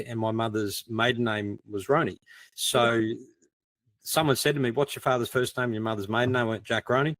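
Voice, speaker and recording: A man's voice: medium pitch, fairly bright tone, dry recording. A middle-aged man, chatting casually and telling anecdotes in a self-deprecating way. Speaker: podcast co-host and crime writer.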